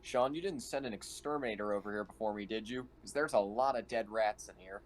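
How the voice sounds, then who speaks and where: A man's voice: lowish voice, a little fast, slight background noise. A man chatting casually as a roleplaying game player, dryly joking as he describes his character's actions.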